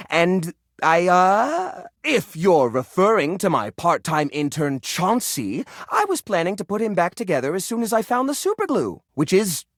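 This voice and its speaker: An actor playing a flustered, defensive junk-drawer curator in a video game, with a nervous, stammering, theatrical manner. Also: male voice, sits high, dry recording, clean studio sound, heavily acted. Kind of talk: animated video game character acting.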